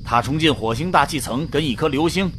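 A man's voice: fairly high pitch, a little fast, fairly bright tone, lightly acted. A man playing a pragmatic, sceptical astronaut in a science-fiction radio drama, matter-of-fact and dismissive. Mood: scoffing. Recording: faint background noise.

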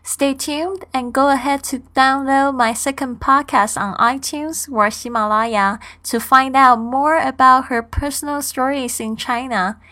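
A woman in her thirties from Taiwan, hosting a podcast and reading a prepared introduction in a steady, earnest, upbeat way. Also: high voice, unhurried, few pauses. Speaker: podcast host.

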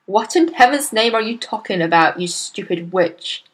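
A woman's voice: fairly high pitch, lively intonation, moderate pace, lightly acted. A woman voicing an irate middle-aged father in a storybook, blustering and spluttering with indignation. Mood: indignant.

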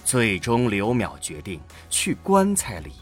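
A man's voice: fairly low pitch, wildly swooping pitch, a little slow, soft background music. A man narrating a science fiction radio drama in a scripted, dramatic storytelling style.

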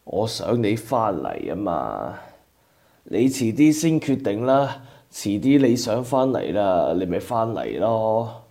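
A man's voice: on the low side, sing-song, slow pace, creaky, mellow, full character voice. A man with a Cantonese accent playing a caring, anxious older father in a radio drama. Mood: coaxing, anxious. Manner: fussing, coaxing.

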